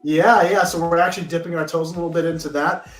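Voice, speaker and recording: A man hosts an informal live stream, chatting casually with friends. His voice sits a little high and sounds upbeat. The room adds a clear echo, and faint music plays underneath. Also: fairly even intonation, quick pace.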